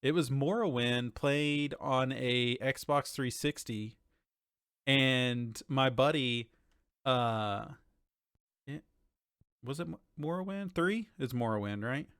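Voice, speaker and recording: A man's voice: mid-range voice, slow pace, fairly bright tone, smooth, no echo. Enthusiastic man with dry, close sound. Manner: enthusiastic, informal. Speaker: podcast co-host. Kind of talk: casual chat about video games.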